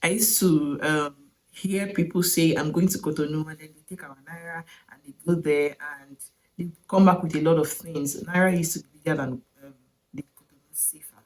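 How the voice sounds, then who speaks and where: A woman's voice: low-pitched, steady tone, normal speed, a little mellow, low-quality recording. A young Nigerian woman with a Nigerian accent, chatting casually in a travel vlog and wondering aloud, with short laughs. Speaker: vlogger.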